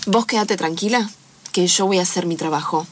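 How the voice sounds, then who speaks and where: A woman's voice: lowish voice, brisk, a little hiss or hum, pitched below the performer's own voice, lightly acted. A woman playing a stern, insistent aunt in an improvised radio play. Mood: coaxing. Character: stern, insistent aunt quizzing her young niece. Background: music.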